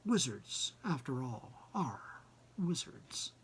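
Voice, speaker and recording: A man's voice: medium pitch, very animated, takes it slowly, vocal fry, fairly bright tone, breathy edge. A man reading and hosting, moving between storybook narration and informal commentary. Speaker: reader and host.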